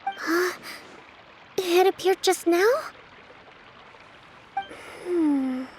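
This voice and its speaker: A woman voicing a polite, composed young female strategist in a video game, speaking in a measured, formal manner. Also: extremely high pitch, a little hiss or hum, exaggerated voice. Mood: composed, thoughtful.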